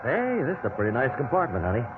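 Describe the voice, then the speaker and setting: Casting: easygoing American husband, middle-aged, animated folksy teasing, vintage radio sound. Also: male voice, medium pitch, fast, narrow, tinny audio. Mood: affectionate, teasing. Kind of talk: 1950s radio drama acting. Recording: band-limited 1950s radio recording with hiss.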